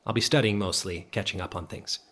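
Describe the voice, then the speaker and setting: An actor playing a fearful, solitary male professor who narrates an audio drama in a grave, hushed, deliberate way. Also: sits low, steady tone, breathy edge. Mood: reserved, polite. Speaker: narrator and lead character.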